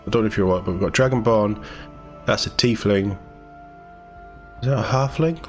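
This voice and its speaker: Male gaming news host, low voice, casual and enthusiastic read-through with commentary, music underneath. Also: a little fast. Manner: chatty, informal, enthusiastic. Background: background music.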